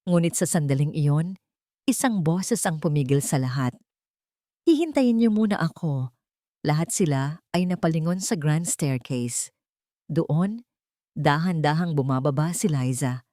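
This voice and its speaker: A woman's voice: deep voice, unhurried, dark tone, no echo. A woman narrator telling a scripted story in a dramatic storytelling style, recorded close.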